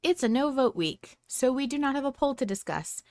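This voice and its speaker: A woman's voice: high-pitched, lively intonation, moderate pace, a little mellow, dry recording, studio quality. A woman hosting a podcast, chatting casually as a fan. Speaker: podcast host.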